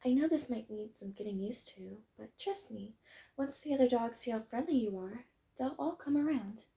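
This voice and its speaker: A woman acts out a wholesome tomboy dog girl in an audio roleplay. The voice sits fairly high, yet it is very mellow and soft-edged, with a slight creak. The delivery is gentle, chatty and reassuring, like a kindly kennel worker. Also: moderate pace. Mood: friendly, explaining. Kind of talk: roleplay audio, acted one-sided conversation.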